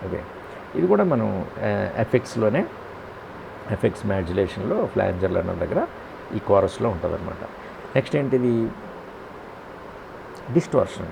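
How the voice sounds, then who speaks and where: A man with a Telugu accent giving a step-by-step software tutorial, posing questions and answering them himself with frequent check-in tags. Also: fairly low pitch, big pitch swings, moderate pace, creaky, pauses often, noisy background. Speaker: audio editing tutor.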